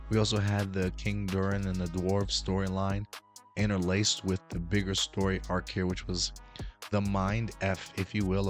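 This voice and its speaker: A hoarse man hosting a casual show review, rambling with many restarts while recovering his voice. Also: sits low. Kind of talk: casual review talk to camera.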